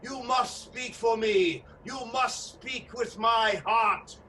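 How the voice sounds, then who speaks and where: A commanding vampire lord of a radio drama, chanting his summons in slow, theatrical declamation. The voice is pitched high and sits far from the mic in a noisy recording. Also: pushed and bright, heavily acted. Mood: commanding, yearning. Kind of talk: radio play acting. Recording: distant mic, clearly noisy background.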